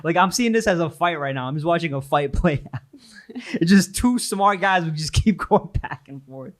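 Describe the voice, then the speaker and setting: A man's voice: a little high, expressive, moderate pace. A man hosting a reaction video, talking in slang, repeating himself and laughing. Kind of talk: casual reaction commentary.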